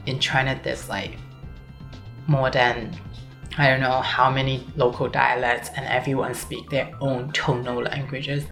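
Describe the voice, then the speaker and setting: Deep-voiced woman. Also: flat delivery, unhurried, fairly bright tone. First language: Cantonese. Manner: earnest, explanatory, non-native grammar. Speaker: PhD student. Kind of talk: interview. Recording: faint music underneath.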